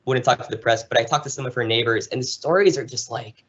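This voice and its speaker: A man's voice: mid-range voice, quick pace, fairly bright tone, husky. American man storytelling, slightly dull audio. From New Jersey, United States. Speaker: podcast guest, travel show host. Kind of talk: conversational interview, enthusiastic storytelling.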